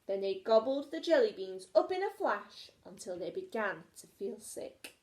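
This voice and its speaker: Animated woman reading a children's story. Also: medium pitch, normal speed. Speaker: teacher. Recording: echoey room.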